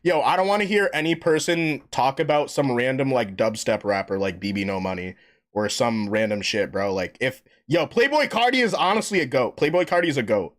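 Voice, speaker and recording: A man talks loosely to his live-stream chat while gaming, a little drunk. His speech is full of slang, profanity and "bro," and it wanders from thought to thought. His pitch at a mid level jumps high and drops low all the time. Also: expressive, fairly quick. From New York. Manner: rambling, slangy, profane, says "bro" constantly. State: tipsy.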